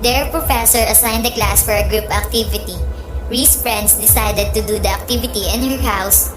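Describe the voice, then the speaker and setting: A woman reads out a scripted narration. Her voice sits on the high side, echoing in the room with clear music underneath. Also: moderate pace. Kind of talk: scripted narration, reading aloud.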